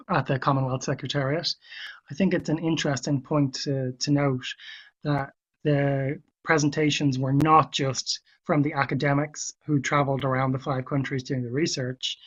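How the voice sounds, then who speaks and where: A man presents to an online learning group in a measured way, pausing on fillers as he thinks aloud. His voice is husky and hoarse from a cold, at a middling pitch. The dull, lo-fi sound is typical of a webinar recording. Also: a bit bright.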